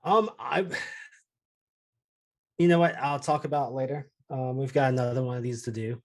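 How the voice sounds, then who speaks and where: A man's voice: mid-range voice, fairly even intonation, moderate pace. A man co-hosting a casual chat in an easygoing, conversational way. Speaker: host.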